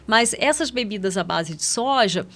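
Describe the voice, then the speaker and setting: A woman's voice: medium pitch, expressive, normal speed, a bit bright. A Brazilian woman speaking as a medical podcast guest, explaining things conversationally and often checking in with little agreement tags. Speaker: guest doctor, pediatric endocrinologist.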